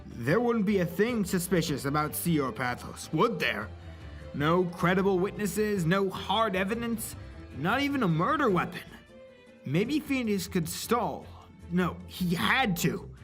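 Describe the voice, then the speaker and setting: A story narrator with a mid-range voice delivers scripted, dramatic prose. Soft music plays underneath the reading. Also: mid-range voice.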